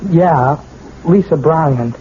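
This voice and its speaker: An actor playing an awkward, polite young man in a radio mystery drama, speaking in a hesitant, bashful, halting way. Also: fairly high pitch. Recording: muffled, noisy old radio broadcast recording.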